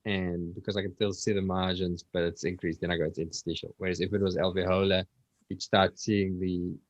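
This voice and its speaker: A man's voice: sits low, flat delivery, brisk, dry recording, muffled recording. A man hosting an online session, informally asking questions and recapping answers in simple words.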